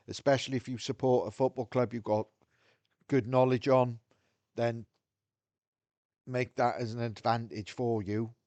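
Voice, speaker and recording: Welsh man, lowish voice, dry close sound, frank and measured, restarts mid-thought. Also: darkish tone, no room sound. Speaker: video host. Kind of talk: casual talk to camera.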